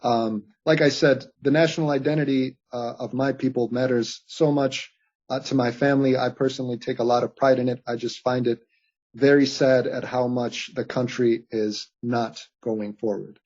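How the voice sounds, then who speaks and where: A man in his late twenties from Bosnia, a podcast guest talking things over earnestly with many pauses and restarts. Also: mid-range voice, creaky, a little mellow, husky. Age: late 20s. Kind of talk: reflective interview conversation. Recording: dull lo-fi audio.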